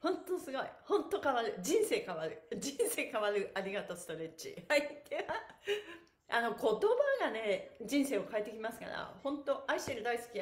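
A middle-aged woman, an effusive, playful and encouraging stretch instructor chatting to her livestream viewers. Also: on the high side. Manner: effusive, encouraging, playful, frequent laughing exclamations. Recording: echoey bare room.

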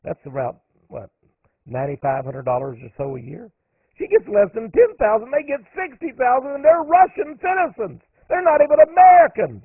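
A man's voice: a little high, slightly rough, no echo. A man hosting a radio monologue, talking emphatically and climbing sharply on the points he stresses. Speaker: radio host. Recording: narrow phone-like radio audio, dry.

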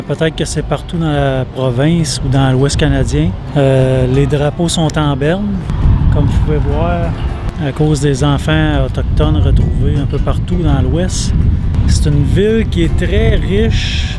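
A man with a Quebec French accent narrating a travel vlog in a casual, conversational way. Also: fairly high pitch.

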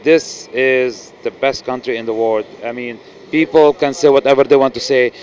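Lebanese man, earnest and halting. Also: medium pitch, fairly even intonation, darkish tone, slight background noise. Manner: earnest, halting with many restarts and fillers. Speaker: actor, interview guest. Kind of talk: casual interview. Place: noisy indoor event venue. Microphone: handheld mic.

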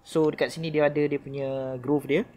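A man's voice: on the high side, level tone, normal speed, darkish tone. A Malaysian man in his thirties giving casual, slangy unboxing commentary, recorded outdoors at a table mic. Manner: informal, slangy Malay mixed with English words. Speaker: toy review vlogger. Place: outdoor garden patio of a house. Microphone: camera-style shotgun mic on small tripod at the table.

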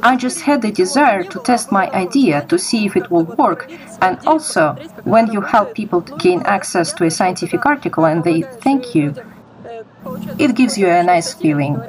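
A woman's voice: mid-range voice, animated, normal speed, crowd noise, poor audio. Young woman explaining in an interview. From Kazakhstan. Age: young adult. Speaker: interviewee, project creator. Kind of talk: informal explanatory interview answers.

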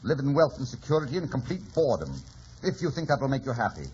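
A man's voice: mid-range voice, very animated, fast, a little mellow, natural voice. Casting: male actor for intense, declamatory wild lover in a radio play; muffled vintage sound with slight noise. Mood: bitter, passionate. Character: bitter, passionate wild young moorland lover. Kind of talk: 1940s radio drama acting. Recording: dull old radio recording, faint noise.

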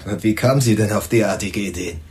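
Male actor as police sergeant, fairly low voice, curt, direct, crime radio play. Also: quick pace, darkish tone, close to an everyday voice. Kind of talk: German crime radio drama acting.

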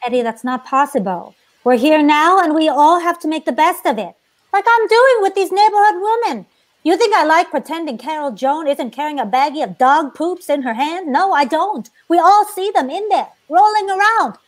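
High-voiced, blunt, proud mom. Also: female voice, lively intonation, normal speed. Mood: firm, exasperated. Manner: emphatic, matter-of-fact. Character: blunt, strict, proud immigrant mother of three. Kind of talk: sitcom table read. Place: indoors at home on a video call. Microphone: video call, earphones.

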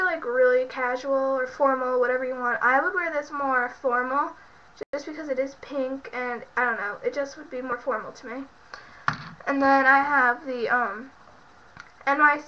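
A woman's voice: high-pitched, normal speed, sharp tone, a little hiss or hum. Congested girl on a webcam. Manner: chatty, rambling, lots of fillers and self-corrections. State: sick and congested. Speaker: beauty vlogger. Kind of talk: casual product show-and-tell. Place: bedroom at home. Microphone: built-in webcam mic. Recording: muffled lo-fi webcam audio.

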